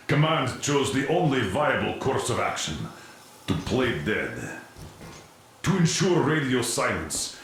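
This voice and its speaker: A man acting a stern, weary military commander in a video game, gruff, authoritative and sardonic. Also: medium pitch, fairly bright tone, heavy reverb, slight background noise. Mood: grave, explanatory.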